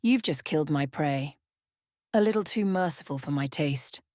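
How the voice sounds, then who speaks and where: A woman's voice: sits low, expressive, moderate pace, darkish tone, no echo. Cocky young male vampire for a storybook, played by a woman: sneering, taunting, dry close sound. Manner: smirking, taunting.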